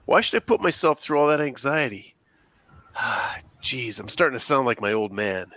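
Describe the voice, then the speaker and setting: A man reading the part of an anxious, defensive teenage high-school rocker at a script table read. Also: expressive, normal speed, pushed and bright. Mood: self-doubting.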